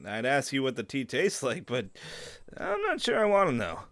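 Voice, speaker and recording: A man's voice: mid-range voice, a bit bright, slight background noise. A man acting a playful, bold young werewolf in an audio drama.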